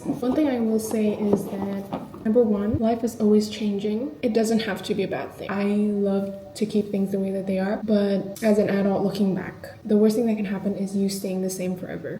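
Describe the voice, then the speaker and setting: A woman's voice: mid-range voice, mellow, echoey room, slight background noise, rough recording. A woman in her twenties talking in a reflective, confiding, chatty way in a personal diary vlog. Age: 20s. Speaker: vlogger.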